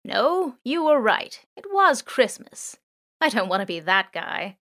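A woman voicing a playful, chatty male drone that talks with natural pauses and indecision. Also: fairly high pitch, big pitch swings, pushed and bright, pitched above the performer's own voice, exaggerated voice. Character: loud talkative male surveillance drone, playful.

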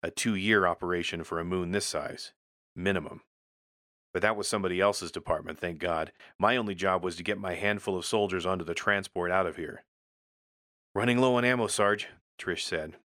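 Deep, bright-edged male story narrator. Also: fairly even intonation, brisk, dry recording, clean studio sound. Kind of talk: scripted first-person audio fiction narration.

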